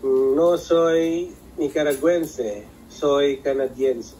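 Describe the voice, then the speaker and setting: Man, language student, careful, hesitant, slow, video call, faint noise. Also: a little high, mellow, poor audio. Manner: hesitant, careful, self-correcting. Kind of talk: practicing phrases in a class.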